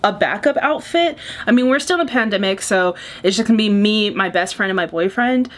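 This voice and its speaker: A woman's voice: medium pitch, lively intonation, brisk. Chatty young woman vlogging. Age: 20s. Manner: chatty, candid, jokey asides. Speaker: beauty vlogger. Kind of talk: casual get-ready-with-me chat.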